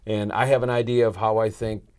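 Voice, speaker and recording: A man's voice: lowish voice, level tone, a little fast, darkish tone, clear, resonant tone. A middle-aged American man answering as an interview guest in a conversational, earnest way, voicing bits of dialogue as he explains. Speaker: podcast guest, marketing consultant. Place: small indoor podcast studio. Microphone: lapel mic.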